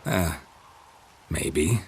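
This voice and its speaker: An actor voicing a stoic, wry monster hunter in a video game, speaking tersely with deadpan delivery. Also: male voice, sits low, extremely slow, vocal fry, slight background noise, exaggerated voice. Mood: dry curiosity. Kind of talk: video game dialogue acting.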